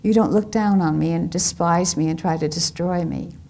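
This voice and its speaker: A woman's voice: on the low side, normal speed, creaky, dark tone. A woman reading the lines of an anguished young mother who speaks in an earnest, pleading way.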